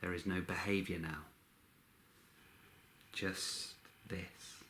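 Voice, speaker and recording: A man leading a guided meditation in a measured, instructional way, speaking in short phrases with long pauses. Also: low-pitched, flat delivery, pushed and bright, a little hiss or hum.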